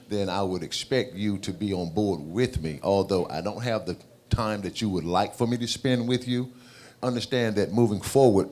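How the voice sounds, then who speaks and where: Deep low man, measured advice-giving tone, handheld mic, restaurant panel. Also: darkish tone, a little hiss or hum. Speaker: panel guest. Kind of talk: casual panel chat.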